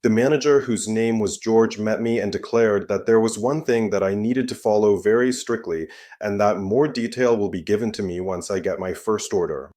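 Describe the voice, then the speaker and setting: A man reading a first-person scary story aloud as narrator, in a steady, even manner. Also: lowish voice, moderate pace, hardly any pauses.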